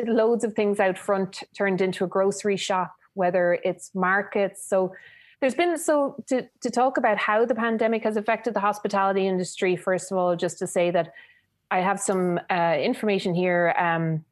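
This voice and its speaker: A woman's voice: mid-range voice, normal speed, no echo. A woman in her thirties with an Irish accent, co-hosting a casual podcast and talking with lots of fillers. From Ireland. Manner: chatty, with many fillers. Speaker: podcast co-host, food journalist.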